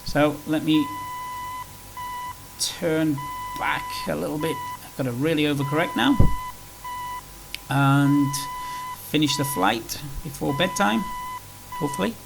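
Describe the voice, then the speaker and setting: Higher-voiced man over noisy hum. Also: measured pace, long pauses. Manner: unscripted, rambling, easygoing. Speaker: flight simulator video presenter. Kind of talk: casual tutorial commentary while playing. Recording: clearly noisy with background hum.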